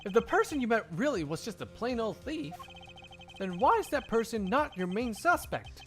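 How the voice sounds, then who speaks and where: A man voicing a smug, haughty rival prosecutor in a video game dub, theatrical and emphatic, quick to turn defensive. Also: very high-pitched, big pitch swings, fairly bright tone, faint music behind, voice pushed up, exaggerated voice. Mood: insistent, defensive. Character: smug, haughty male rival prosecutor, flustered when cornered.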